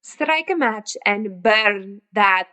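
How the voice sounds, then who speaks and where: A woman playing a menacing, haughty phony psychic in a radio comedy drama, formal, deliberate and imperious. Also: mid-range voice, expressive, sharp tone. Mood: cold, threatening. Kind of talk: old-time radio villain acting.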